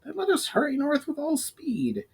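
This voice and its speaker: A man's voice: extremely high pitch, very animated, normal speed, vocal fry, a little mellow, pitched above the performer's own voice, full character voice. A man voicing an inquisitive, earnest goblin companion who speaks formally in a storybook reading. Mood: urgent. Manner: formal, questioning speech.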